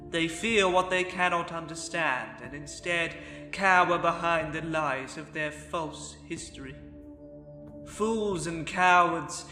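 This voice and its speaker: Man as a sharp, scornful dark elf conqueror. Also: fairly high pitch. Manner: contemptuous, grandiose. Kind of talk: fantasy audiobook character acting. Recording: echoey hall reverb.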